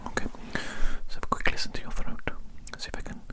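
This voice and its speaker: A person whispering softly and breathily close to the microphone in an ASMR roleplay as a polite, reassuring doctor, gently repeating phrases. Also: a little fast. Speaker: ASMR performer roleplaying a doctor examining an alien.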